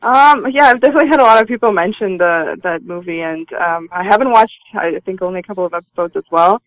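A woman's voice: medium pitch, fast. A woman, giving conversational answers as an interview guest. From Romania and Canada. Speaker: interview guest, magazine publisher. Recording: dull lo-fi line, roomy sound.

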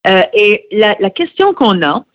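A woman's voice: medium pitch, very animated, a little slow, no room sound. Earnest middle-aged woman, muffled recording. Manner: earnest, explanatory, with frequent hesitations. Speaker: radio guest, head of a francophone federation. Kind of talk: radio interview answers. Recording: slightly muffled, dry recording.

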